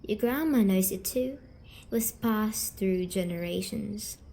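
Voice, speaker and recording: A woman performing scripted ASMR roleplay as a gentle, patient, eerie demon visitor, speaking softly and coaxingly and slipping into whispers. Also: mid-range voice. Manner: soft, slow, coaxing delivery slipping into whispers. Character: gentle, patient, eerie female sleep paralysis demon comforting a dreamer. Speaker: ASMR audio storyteller.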